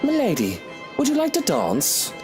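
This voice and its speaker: Flamboyant, swooping aristocrat. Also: male voice, sits high, wildly swooping pitch, normal speed, over music. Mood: courtly, smooth. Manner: theatrical, grandiose, courtly. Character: flamboyant, self-important aristocratic husband. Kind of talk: video game drama acting.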